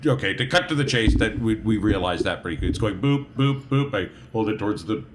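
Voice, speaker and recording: A man with a lowish voice plays a tabletop roleplaying game, talking casually. He repeats words as he works out ideas aloud, with faint noise in the background. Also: animated, brisk.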